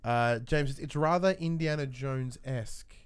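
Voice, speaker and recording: A man's voice: mid-range voice, moderate pace, clean tone. A man co-hosting a podcast in a casual, chatty style, putting questions to his partner. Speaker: podcast co-host reading viewer questions.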